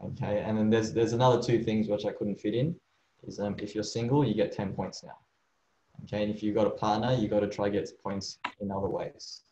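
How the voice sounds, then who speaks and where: A man's voice: fairly low pitch, fairly even intonation, brisk, mellow, slight background noise. A man working as a migration agent, walking through visa rules in a casual way, often saying "okay" and "um" and restarting his sentences. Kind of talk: explaining visa rules from slides. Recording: echoey room, slightly dull sound.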